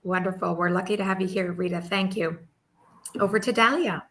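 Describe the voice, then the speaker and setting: Bright-voiced lady hosting a webinar panel, upbeat, welcoming, video call sound. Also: medium pitch, fairly even intonation, fairly quick. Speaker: moderator.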